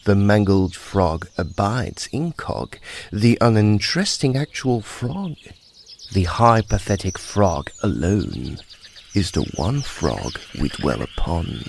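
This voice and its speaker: A man giving a theatrical, measured recitation of verse. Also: lowish voice, sing-song, vocal fry, slight background noise. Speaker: poetry reader. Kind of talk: dramatic poetry recitation.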